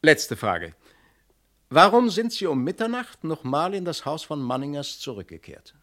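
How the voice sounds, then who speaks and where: An actor playing a composed, methodical police inspector, clipped and authoritative, in a 1960s German radio crime drama. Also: male voice, on the high side, very animated, normal speed, a bit bright. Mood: controlled, accusing. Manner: matter-of-fact, clipped, authoritative.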